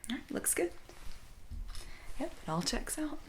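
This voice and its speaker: A woman speaking softly and gently as a doctor in an ASMR checkup roleplay, often slipping into a whisper, close to the microphone. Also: high-pitched, big pitch swings, measured pace, slight background noise. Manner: soft-spoken, gentle, often dropping into whisper. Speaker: ASMR performer playing a doctor. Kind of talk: soft-spoken ASMR medical roleplay.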